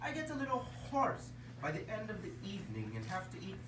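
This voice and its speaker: A woman plays an eager little circus dragon, earnest and pleased with himself, whose pitch leaps up and down as he talks. The voice comes from a distance in an echoey room, with noise behind it. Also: huge pitch swings, fairly quick. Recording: echoey room, far mic, noisy.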